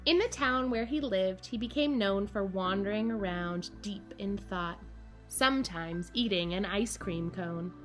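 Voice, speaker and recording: A woman reading a children's storybook aloud in an expressive, playful way, speaking directly to young listeners. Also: mid-range voice. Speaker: camp director reading a picture book to children. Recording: music behind the voice. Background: background music.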